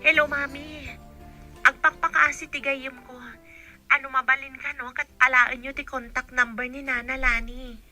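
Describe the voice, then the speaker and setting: A woman's voice: high-pitched, flat delivery, normal speed, sharp tone, faint music behind. A woman playing a helpful, coaxing young village daughter in a radio drama. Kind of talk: Ilocano radio drama acting.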